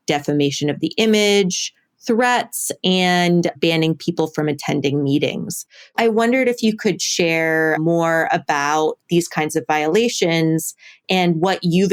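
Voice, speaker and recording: A woman working as an interviewer, asking measured, carefully phrased questions as a journalist. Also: sits low.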